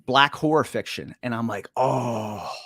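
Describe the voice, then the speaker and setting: A man's voice: medium pitch, unhurried, fairly bright tone, breathy edge, no room sound. A middle-aged man hosting a podcast interview, talking enthusiastically with some restarts. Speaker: podcast host.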